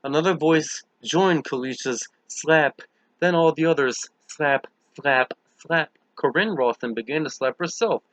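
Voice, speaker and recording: Bright, forceful male audiobook narrator. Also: on the high side, a little slow, no room sound, poor audio. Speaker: audiobook reader. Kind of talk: scripted dramatic narration.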